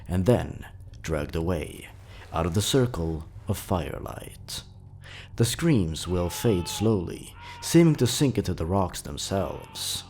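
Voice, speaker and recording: Guy reading creepy stories, lowish voice that rises and falls a lot, slow suspenseful delivery. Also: darkish tone. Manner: measured, suspenseful storytelling delivery. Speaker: horror story narrator and channel host. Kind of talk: dramatic horror story narration.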